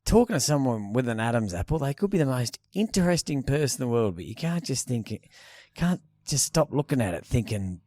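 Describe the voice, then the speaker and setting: A man with an Australian accent and a croaky, hoarse voice, co-hosting a casual podcast, crude and self-mocking. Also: mid-range voice, big pitch swings, fairly quick, a little mellow, no room sound. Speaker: podcast co-host. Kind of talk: casual banter.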